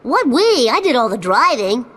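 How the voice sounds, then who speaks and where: Cheeky high-voiced cartoon boy. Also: very animated, normal speed, fairly bright tone, exaggerated voice. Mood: brash. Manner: wry, brash. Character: cheeky, sardonic ten-year-old boy.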